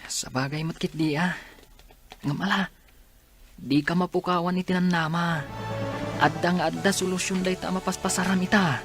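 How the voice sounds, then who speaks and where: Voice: mid-range voice, steady tone, normal speed, long pauses, fairly bright tone, soft background music, lightly acted. A performer playing a young male classmate who comforts and encourages his friend. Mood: concerned. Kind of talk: radio drama acting.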